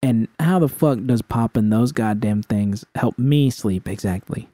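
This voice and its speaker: A man's voice: fairly low pitch, a little slow, mellow, no echo, clean studio sound, close to an everyday voice. A man voicing a tough, blunt, exasperated woman in an audiobook drama. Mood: angry. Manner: blunt, profane, confrontational. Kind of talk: dramatic audiobook character acting.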